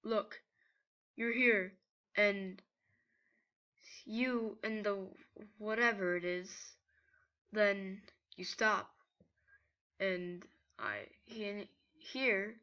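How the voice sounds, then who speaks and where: A laid-back, resigned skeleton from a comic dub voiced by a woman, speaking slowly in a bright, fairly high voice. The delivery is casual and halting, on a muffled home recording. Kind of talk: amateur comic dub acting.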